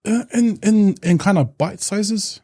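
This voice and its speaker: Samoan-New man, mellow and reflective. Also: high-pitched, measured pace, slightly rough, no room sound, clean studio sound. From New Zealand. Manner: reflective, with many restarts and fillers. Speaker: podcast guest. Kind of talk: casual interview talk.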